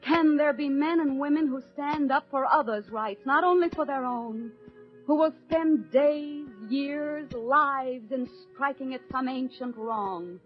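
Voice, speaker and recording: An actress playing a resolute American housewife and mother, delivering a solemn, measured 1940s radio monologue with an American accent. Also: sits high. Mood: solemn, proud. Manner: solemn, measured, declamatory oratory. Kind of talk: 1940s radio acting, dramatic poetic monologue. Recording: muffled old radio broadcast, faint music.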